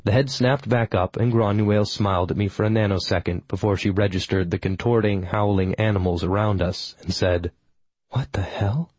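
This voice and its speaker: A man narrating a first-person novel as an audiobook, in a calm, measured storytelling manner. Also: fairly low pitch, dry recording, rough recording.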